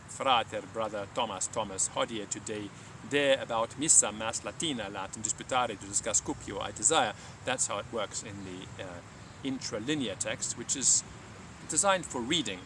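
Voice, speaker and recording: A man speaking as a Latin teacher, explaining and reading aloud in a measured, halting live delivery. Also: on the low side, far from the mic, noisy background. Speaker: Latin teacher and reader of his own lesson texts.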